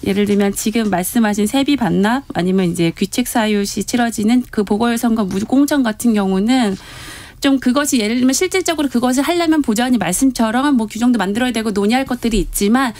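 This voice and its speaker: A woman's voice: on the high side, fast, darkish tone, no echo. A woman speaking conversationally as a political aide on a radio panel, careful and diplomatic in how she puts things. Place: radio studio.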